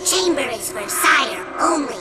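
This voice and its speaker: An actor voicing a small, simple-minded, insistent alien creature in a video game, in clipped broken sentences. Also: female voice, sits high, expressive, unhurried. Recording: echoing, loud music behind.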